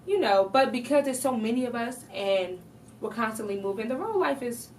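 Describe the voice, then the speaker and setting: A woman's voice: on the high side, animated, moderate pace, a little mellow. A woman singer being interviewed on a podcast, bubbly and giggly as she talks casually. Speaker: singer in a group. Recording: distant mic with faint noise.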